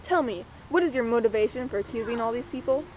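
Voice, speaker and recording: Young female presenter, high voice, scripted mock-documentary read, slight noise behind. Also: fast, a little mellow. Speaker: presenter and interviewer.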